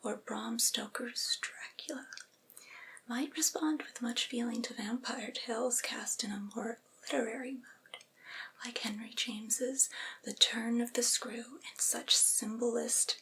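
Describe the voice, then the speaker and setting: Woman whispering softly, breathy ASMR reading. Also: on the high side, low-quality recording. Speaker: ASMR creator reading aloud.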